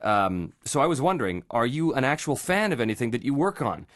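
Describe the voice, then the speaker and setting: Animated Canadian man hosting a podcast. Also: mid-range voice, a little fast. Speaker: podcast host, voice actor. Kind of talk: casual chat, reading listener questions.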